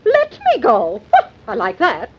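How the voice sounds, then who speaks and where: A woman's voice: fairly high pitch, wildly swooping pitch, unhurried, husky, heavily acted. An actress in 1940s radio acting, playing an indignant, self-important small-town society lady. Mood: haughty. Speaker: widow of the murdered man. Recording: dull old radio broadcast recording.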